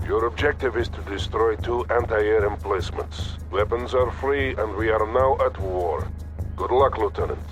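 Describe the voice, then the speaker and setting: An actor playing a stern Soviet field commander giving clipped, authoritative radio orders. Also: male voice, fairly low pitch, moderate pace, muffled recording, soft background music, lightly acted. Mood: stern, resolute. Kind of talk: military radio briefing.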